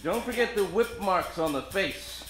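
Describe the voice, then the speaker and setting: A man's voice: sits high, lively intonation, measured pace, a bit bright, roomy sound, soft background music. A man playing a world-weary, hard-drinking detective in an old-time radio parody, wry and self-deprecating. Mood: wry, businesslike.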